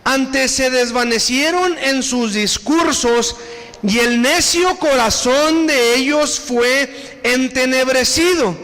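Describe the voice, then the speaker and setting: High-voiced Mexican preacher in a reverberant hall. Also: male voice, pushed and bright. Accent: Mexican Spanish. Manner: preaching with repeated appeals to the congregation, slow emphatic scripture reading. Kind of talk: sermon. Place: church hall.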